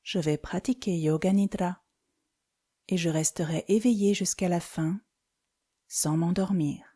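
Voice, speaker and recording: A woman guides a meditation in a fairly low voice with a very mellow, relaxed tone. She gives instructions calmly and soothingly, in short phrases separated by pauses. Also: husky. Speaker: yoga nidra instructor.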